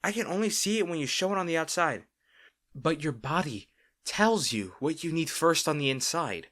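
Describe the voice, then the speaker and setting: A man playing a caring boyfriend in an intimate audio roleplay, talking tenderly and with gentle insistence to his partner. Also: a little high, expressive, normal speed. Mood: concerned, earnest. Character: caring, gently firm young boyfriend soothing an overworked partner.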